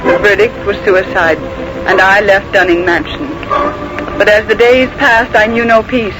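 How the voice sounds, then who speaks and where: An actress playing an earnest, determined secretary in a 1940s radio mystery, speaking with precise intensity. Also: female voice, mid-range voice, close to an everyday voice. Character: earnest, determined female secretary turned amateur sleuth. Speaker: narrator and character in a radio mystery. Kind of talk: 1940s radio drama acting. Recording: noisy old 1940s radio recording.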